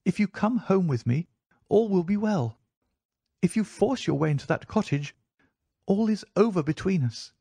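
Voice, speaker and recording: A man's voice: on the high side, sing-song, normal speed, dark tone, breathy edge, no echo, pitched above the performer's own voice. A man voicing a frightened, secretive wife in an audiobook, pleading in an urgent, emotional manner. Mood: desperate pleading. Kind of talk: audiobook character dialogue.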